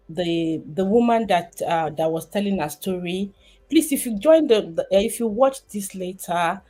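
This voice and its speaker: A woman's voice: lowish voice, darkish tone. A woman in her thirties from Nigeria with a Nigerian accent, chatting casually on a livestream and rambling with many fillers and restarts. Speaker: livestream host.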